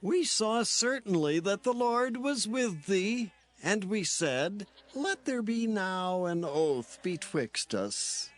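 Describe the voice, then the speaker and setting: A man playing an imperious Philistine king in a dramatized scripture reading, declaiming in drawn-out phrases. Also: sits high, expressive. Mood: formal, conciliatory.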